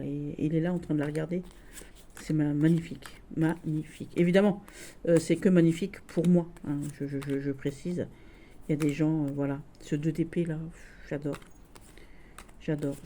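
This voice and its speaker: A woman with a Lorraine accent, showing tarot decks in a casual video, gushing and halting with many restarts. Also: lowish voice, warm tone, slight background noise. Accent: Lorraine French. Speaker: tarot deck reviewer. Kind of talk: casual tarot deck showcase.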